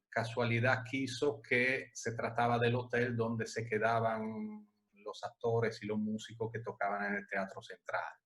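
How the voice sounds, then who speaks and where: A man in his forties from Italy, speaking with an Italian accent, answering interview questions in a relaxed, hesitant way with many restarts. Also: mid-range voice. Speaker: interview guest, music agency director.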